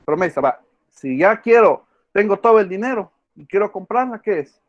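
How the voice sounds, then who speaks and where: A man's voice: sits high, animated, normal speed, no room sound, dull audio. A male law professor giving an online class, quizzing students by name and repeating key words. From El Salvador.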